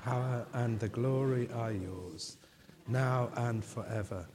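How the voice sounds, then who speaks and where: A man leading communion in a church, reciting liturgical prayers in a slow, solemn, measured way, his voice going. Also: medium pitch, crowd noise. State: losing his voice.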